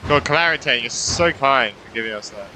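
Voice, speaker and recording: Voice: medium pitch, lively intonation, moderate pace, background noise. A gaming streamer giving casual, joking commentary on a playthrough, swearing and thinking aloud, with game music behind.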